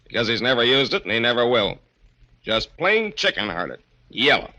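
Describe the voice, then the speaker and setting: An actor playing a fearless, mocking American plainclothes detective in a 1940s radio crime drama. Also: male voice, medium pitch, big pitch swings, moderate pace, bright, forceful tone, dull audio. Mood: confident, scornful. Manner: cool, mocking, hard-boiled.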